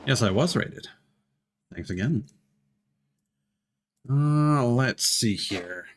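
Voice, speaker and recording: A man's voice: mid-range voice, animated, drawn-out words, a little hiss or hum. A man chatting casually as a live streamer while he plays a game. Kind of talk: casual chat over gameplay.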